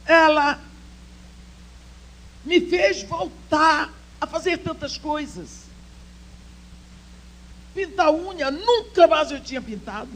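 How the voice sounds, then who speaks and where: A woman's voice: high-pitched, takes it slowly, long pauses, low-quality recording. An older woman speaking at a church women's conference, telling a personal story with feeling, dropping to near-whispers and rising to urge her listeners on. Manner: heartfelt storytelling, swings between soft near-whispers and emphatic exhortation.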